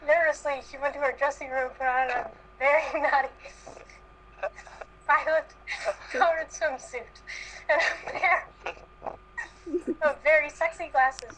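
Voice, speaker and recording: A woman's voice: high voice, fairly even intonation, a little slow, pauses often, bright, forceful tone, slight background noise, low-quality recording. A woman reading fan fiction aloud, struggling to keep a straight face. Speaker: reader in a group comedy reading.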